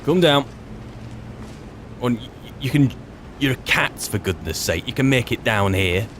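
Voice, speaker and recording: A man's voice: medium pitch, animated, moderate pace, a bit bright, slight background noise. A man improvising in-character commentary as he roleplays through a video game, musing and wry as he chats.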